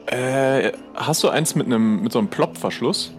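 A man's voice: medium pitch, expressive, moderate pace, faint music behind. A man improvising casual, tentative dialogue as a player in an audio role-play, often asking questions.

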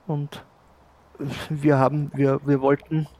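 A man improvising the part of a modest shopkeeper and former sailor, speaking haltingly and repeating words as he searches for them. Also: a little high, flat delivery, a little mellow, rough recording. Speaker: player in an improvised pirate audio adventure. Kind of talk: improvised in-character role-play dialogue.

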